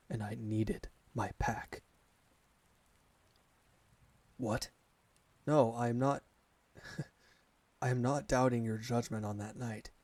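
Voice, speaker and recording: A man's voice: mid-range voice, darkish tone, dry recording. A man playing a devoted alpha werewolf servant in an ASMR roleplay, speaking formally and earnestly to his master. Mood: earnest pleading. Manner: formal, measured, reverent address to a master.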